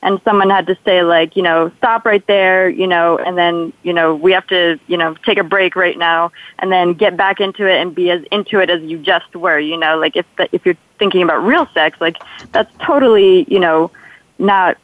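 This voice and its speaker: A woman's voice: lowish voice, normal speed, no room sound. A young adult woman with a slight Polish accent, chatting casually and ramblingly as a guest in a radio interview, with lots of filler phrases. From Poland. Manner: chatty, rambling, peppered with "like" and "you know". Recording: tinny, phone-like radio call-in line.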